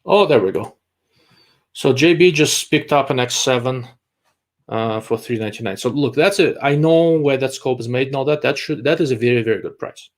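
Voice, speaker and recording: A man's voice: mid-range voice, moderate pace. A man hosting a live stream, reading viewer questions and answering them bluntly while thinking aloud with frequent hesitations. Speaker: livestream host answering viewer chat. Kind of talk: casual live-stream chat.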